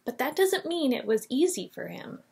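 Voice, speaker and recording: A woman's voice: sits high, big pitch swings, moderate pace, vocal fry, a little mellow, slightly rough. A woman in her forties teaching a children's Bible lesson on webcam, telling the story expressively and reading scripture aloud. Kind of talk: Bible lesson for kids on video. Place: small painted classroom office, indoors. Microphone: webcam at desk.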